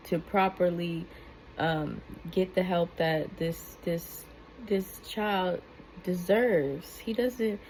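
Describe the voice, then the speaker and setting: Soft-spoken woman, slow earnest commentary. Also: medium pitch, a little hiss or hum, rough recording. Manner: earnest, rambling with many restarts and fillers. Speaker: commentary vlogger. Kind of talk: casual commentary.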